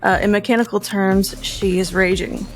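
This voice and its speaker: A woman's voice: mid-range voice, fairly even intonation, normal speed, faint music behind, rough recording. A woman playing a tabletop game, describing her character's actions in vivid detail. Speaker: player.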